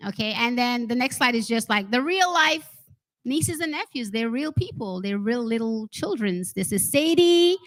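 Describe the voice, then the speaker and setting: A woman speaking informally to an audience as a children's author, chatty and expressive, often checking in with her listeners. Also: fairly high pitch, normal speed. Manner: chatty, expressive, addresses listeners with "right?" and "okay?". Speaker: special education teacher. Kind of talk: informal talk and read-aloud to an audience.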